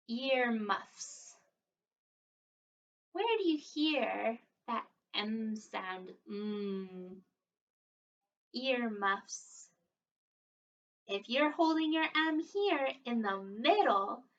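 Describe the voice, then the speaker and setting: A woman's voice: on the high side, a bit bright. A woman speaks to young children in an encouraging, exaggerated teacherly way. She takes her time, leaving room for answers. The recording is a little muffled, with her voice at some distance from the microphone. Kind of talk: read-aloud and interactive lesson for young children. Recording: dull, distant microphone sound.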